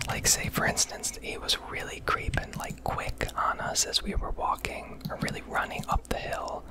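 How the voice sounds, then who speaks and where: An American woman rambling candidly and casually in a breathy ASMR whisper, swearing now and then, recorded on a close microphone. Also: poor audio. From United States. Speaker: ASMR video creator.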